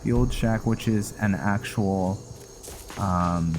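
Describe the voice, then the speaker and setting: Low-voiced man, unhurried gaming commentary. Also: background noise. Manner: rambling, halting, thinking aloud. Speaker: gaming video host. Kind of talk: casual gameplay commentary with roleplay. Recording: music behind the voice. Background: game music.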